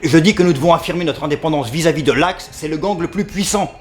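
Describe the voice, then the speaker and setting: A man's voice: on the high side, quick pace, soft background music, natural voice. A man playing a surly, foul-mouthed bar owner from a crime clan in a sci-fi audio drama, sarcastic and confrontational. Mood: challenging, scornful.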